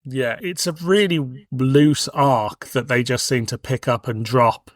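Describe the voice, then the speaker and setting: A man's voice: mid-range voice, slow pace, no echo, studio quality. A man hosting a podcast, chatting casually and reflectively about audio drama in a discursive way. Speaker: podcast host.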